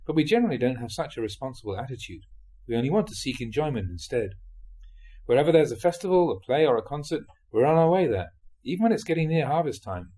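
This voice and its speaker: Husky, mellow man reading Buddhist teachings. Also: medium pitch, big pitch swings, fast. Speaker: monk reading aloud collected Buddhist teachings. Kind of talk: reading translated Dhamma talks aloud, like an audiobook.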